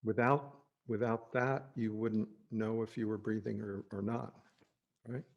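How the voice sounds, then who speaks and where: A man with a low, even voice and a noticeable fry guides a meditation. He speaks as a teacher thinking aloud, in short halting phrases with pauses. His manner is gentle and reassuring. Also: muffled recording. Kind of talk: guided meditation, unscripted spoken instruction.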